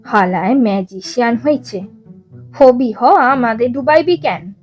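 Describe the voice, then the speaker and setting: A woman's voice: a little high, lively intonation, measured pace, a little mellow. Woman as grumbling club boy, East Bengali accent, blunt, aggrieved, storybook character, music behind. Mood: blunt, scheming.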